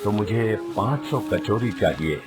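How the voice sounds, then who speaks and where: A man voices a wealthy village merchant with a low voice and a generous temper. He speaks as a considerate customer in a cartoon story, over clearly audible music. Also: lively intonation, measured pace. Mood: businesslike, friendly. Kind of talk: Hindi cartoon story voice acting.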